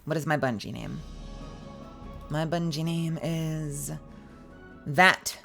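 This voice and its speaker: A woman's voice: low-pitched, slow, vocal fry, fairly bright tone, faint music behind. A young woman streaming games live, chatting playfully with her chat in a slightly scratchy voice.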